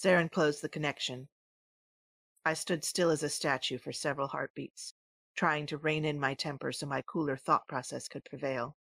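An actress playing a hot-tempered, defiant military commander in a space opera audio drama. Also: female voice, deep voice, fairly even intonation, normal speed, vocal fry, fairly bright tone, no echo, exaggerated voice. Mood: brooding, determined. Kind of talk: first-person scripted audio drama narration and dialogue.

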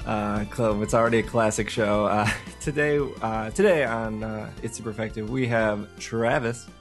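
A man's voice: lowish voice, moderate pace, faint music behind. A man with a scratchy voice hosting a casual podcast, self-deprecating and stumbling over restarts. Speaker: podcast host. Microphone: home podcast microphone.

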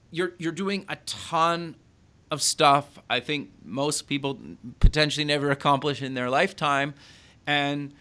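A man's voice: fairly high pitch, bright, forceful tone, smooth. A man hosting a podcast interview, chatting casually and friendly, with many fillers and restarts. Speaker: podcast host.

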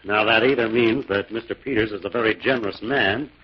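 An actor playing a confident, stern crime-fighting detective in a 1940s style radio drama. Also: male voice, on the low side, fairly quick, breathy edge. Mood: assured, explaining. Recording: muffled, noisy old radio recording.

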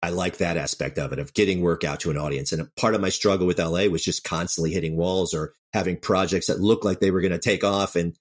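Male interview guest, deep register, brisk delivery, candid and unpretentious creative-professional chat. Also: steady tone. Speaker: writer-director of audio dramas, interview guest. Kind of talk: casual interview talk.

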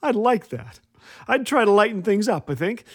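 A man's voice: sits high, big pitch swings, normal speed. A man hosting a humorous inspirational radio show, telling scripted comic stories in a wry, playful way. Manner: wry, playful, acts out quoted lines. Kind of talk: scripted comedic storytelling monologue.

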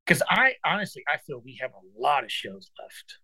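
A man's voice: medium pitch, big pitch swings, normal speed, bright, forceful tone, husky, dry recording, rough recording. A man of fifty, a guest musician chatting casually and earnestly, calling his host brother and dude. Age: 50s. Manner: earnest, encouraging, says brother and dude a lot. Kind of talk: casual chat.

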